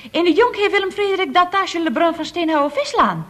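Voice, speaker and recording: An actress playing an inquisitive office secretary in a radio comedy, with a theatrical, dry manner. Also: female voice, extremely high pitch, animated, brisk, fairly bright tone. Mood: officious, singsong. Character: chatty, dryly questioning female office secretary. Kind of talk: 1970s Dutch radio comedy acting.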